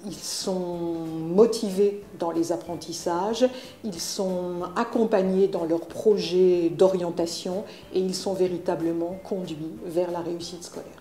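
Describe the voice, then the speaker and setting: A woman formally presenting a school programme in a measured, official way, in an echoey room. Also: on the low side, steady tone, warm tone.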